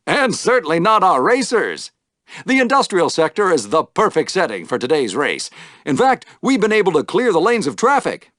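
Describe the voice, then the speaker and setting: An actor playing an exuberant, wry podrace announcer in a video game, with a showy, dramatic broadcast delivery. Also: male voice, on the high side, fairly bright tone, no room sound, studio quality. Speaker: sports announcer. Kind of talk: theatrical sports commentary for a video game.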